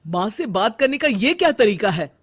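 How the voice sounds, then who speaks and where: A woman's voice: mid-range voice, big pitch swings, normal speed, breathy edge. A woman voicing a caring but insistent young husband in a cartoon story, with emphatic, pleading delivery. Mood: confronting. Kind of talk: animated moral story dialogue.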